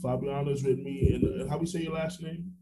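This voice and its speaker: A man with an American accent hosting a casual interview podcast, repeating words as he goes in a halting way. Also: medium pitch, fairly even intonation, over a noisy crowd. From Orlando, Florida, United States. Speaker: podcast host.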